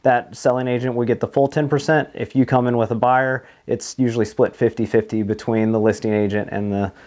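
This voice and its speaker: A man's voice: medium pitch, fairly even intonation, fairly quick, a little mellow. A man in his thirties speaking as a broker being interviewed, explaining in a conversational way in a small office. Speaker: marine broker, interview guest. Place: small office meeting room, indoors.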